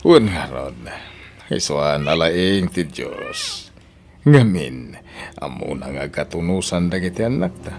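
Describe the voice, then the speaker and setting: Doting middle-aged dad in Ilocano radio play male actor, low lively voice, faintly noisy track. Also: slow, creaky, full character voice. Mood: fond. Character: affectionate middle-aged father remarrying, tender to new wife. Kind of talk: Ilocano audio drama acting.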